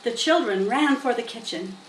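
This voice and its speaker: A woman reading a children's story aloud in an expressive, unhurried way with dramatic emphasis. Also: on the high side. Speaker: storybook reader. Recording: roomy, distant mic with faint noise.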